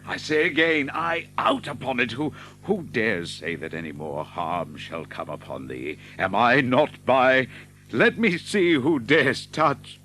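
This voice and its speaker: An actor playing a burly, fiercely loyal outlaw in an audio drama, with emphatic, blustering, old-fashioned speech. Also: male voice, medium pitch, lively intonation, a little slow, bright, forceful tone, a little hiss or hum. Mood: fierce, vengeful. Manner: emphatic, blustering archaic speech with stammered repeats. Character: burly, fiercely loyal male outlaw, rough-hearted, emotional.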